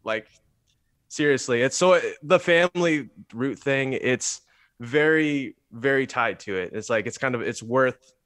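A man's voice: medium pitch, a little slow, a bit bright, no echo. Rambly young man from the Bay Area. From San Francisco Bay Area, USA. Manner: rambling, full of "like" and "you know". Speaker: guest musician. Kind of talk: casual podcast chat.

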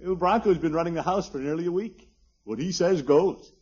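A man's voice: on the high side, a little fast, darkish tone, slightly rough. An actor playing a pompous, easily flustered middle-aged American man in a 1950s radio comedy, with theatrical blustering. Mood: jovial. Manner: theatrical, blustering, swooping exclamations. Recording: muffled, noisy old radio recording.

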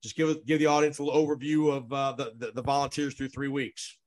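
A man hosting a sports podcast, interviewing guests in an energetic, quick manner, often repeating words. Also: medium pitch.

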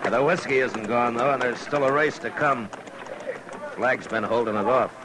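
An actor playing a laconic American frontier marshal, steady and firm, in 1950s radio western style. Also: male voice, mid-range voice, normal speed, noisy background, natural voice. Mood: watchful, firm. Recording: old radio recording, crowd behind.

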